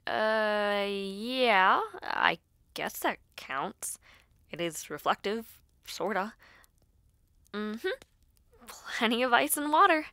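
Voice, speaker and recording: An earnest, nervous schoolgirl spellcaster, played by a woman, with a bright voice and an unhurried pace. She talks haltingly and close to the listener, as in an intimate audio roleplay, in a very dry recording. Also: medium pitch, very animated, clear, resonant tone, no room sound, exaggerated voice. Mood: busy, casual. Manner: hesitant, halting, soft-spoken asides. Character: earnest, nervous school-age girl dabbling in ritual spells.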